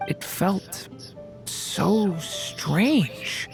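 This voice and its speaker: A man voicing a gentle, earnest, uncertain young dragon boy in a video game, soft-spoken and hesitant. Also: high-pitched, animated, extremely slow, creaky, music in the background, heavily acted, low-quality recording. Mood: troubled.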